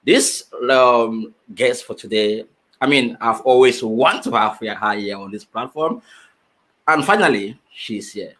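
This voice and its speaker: A man's voice: mid-range voice, expressive, measured pace, fairly bright tone, low-quality recording. Chatty male show host. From Finland. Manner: friendly, repeats words, restarts often. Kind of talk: casual online interview.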